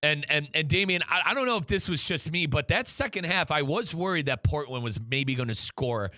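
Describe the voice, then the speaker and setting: A man's voice: fairly high pitch, animated, fairly quick, bright, forceful tone, no room sound, clean studio sound. A man hosting a soccer talk show in a casual, opinionated and emphatic way. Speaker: soccer show host and radio play-by-play commentator.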